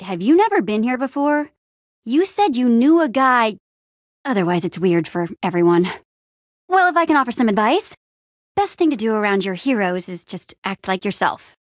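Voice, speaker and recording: A woman's voice: medium pitch, big pitch swings, normal speed, no echo, studio quality, close to an everyday voice. A woman playing an upbeat, plucky young female adventurer in a video game, with an eager, wry and casual way of talking. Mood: friendly. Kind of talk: animated adventure game dialogue.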